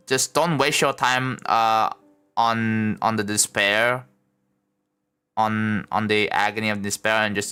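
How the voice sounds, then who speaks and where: Laid-back young man streaming, bright crisp voice. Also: mid-range voice. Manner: laid-back, rambling, many likes and bros. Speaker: livestreamer building a game level. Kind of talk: casual live stream chat.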